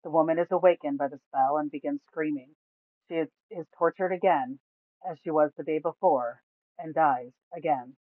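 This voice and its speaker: A woman's voice: low voice, normal speed, no echo. Dry, tinny woman storyteller. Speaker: storyteller reading a tabletop campaign. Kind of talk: scripted fantasy story narration. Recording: thin, phone-like, dry sound.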